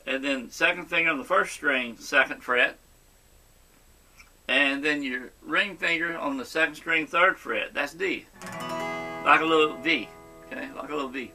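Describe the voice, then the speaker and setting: A man teaches guitar in a sharp, very bright voice that sits slightly high. He goes step by step and reassures the learner, checking in often. Guitar music sounds along with his talk. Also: moderate pace, pauses often, rough recording. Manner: encouraging, reassuring. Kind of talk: instructional guitar lesson, step by step.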